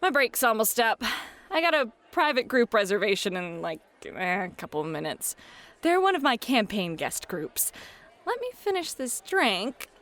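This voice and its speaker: A woman playing a playful, flirty club hostess and game master in an ASMR roleplay, bubbly, teasing and expressive. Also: a little high, moderate pace. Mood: casual, cheerful. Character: playful, flirty club hostess running tabletop adventure games. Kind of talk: scripted ASMR roleplay, acted. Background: background music.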